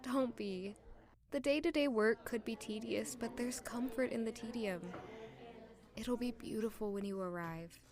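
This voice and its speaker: Casting: young palace maid for audio drama, female actor, high voice, reserved, courteous, modest, quietly wistful. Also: unhurried. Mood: gently reassuring. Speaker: narrator and lead character of audio drama. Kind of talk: audio drama acting with first-person narration.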